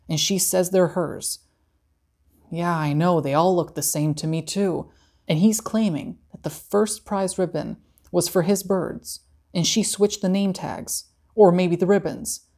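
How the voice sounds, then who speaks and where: A man's voice: sits high, measured pace, gravelly, a little mellow. A young man reading aloud, voicing a harried male fair official talking on the phone. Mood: exasperated.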